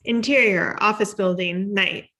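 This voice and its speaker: Woman, script narrator, stage directions, bright forceful voice, table read style. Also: mid-range voice, a little slow.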